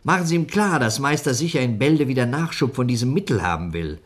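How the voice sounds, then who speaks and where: A man playing a weary, stern police inspector in a 1960s radio drama, speaking in a measured, admonishing way. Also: mid-range voice, animated, a loud crowd, lightly acted.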